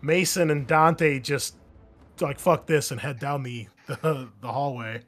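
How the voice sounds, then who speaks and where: A man's voice: medium pitch, steady tone, unhurried, a bit bright. A man narrating a tabletop roleplaying game as game master, conversational and improvising rulings as he goes.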